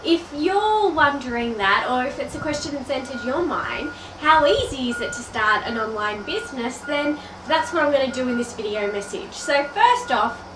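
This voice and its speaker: An Australian woman in her thirties speaks to camera with a high voice and a blocked, stuffy nose from a cold. She chats straight to the viewer like a coach. The sound is roomy and echoey, and she sits a little back from the mic with a faint hiss behind her. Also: lively intonation, moderate pace, hardly any pauses. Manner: chatty, addresses the viewer directly. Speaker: online business coach. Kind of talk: direct-to-camera video message. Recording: roomy, a bit distant from the mic.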